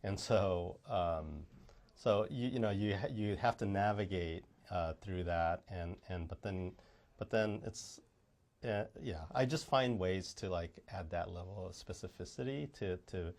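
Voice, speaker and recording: A man with a low voice talks in a casual interview. He speaks haltingly, filling gaps with ums and restarting his sentences. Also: darkish tone. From United States. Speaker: film director, interview guest.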